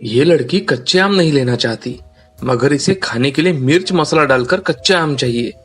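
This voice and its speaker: A man's voice: on the high side, expressive, moderate pace, a bit bright, slightly rough. A man voicing a polite, earnest, hardworking young village farmer in a cartoon story. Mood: thoughtful. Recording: music under the voice.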